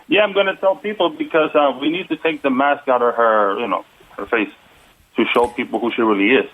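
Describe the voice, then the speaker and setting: A man with a Puerto Rican accent, calling in as a political candidate. He talks earnestly but haltingly, pausing on fillers. The sound is thin and phone-like, at a mid pitch. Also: fairly even intonation. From United States. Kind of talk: phone-in interview. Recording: tinny phone line.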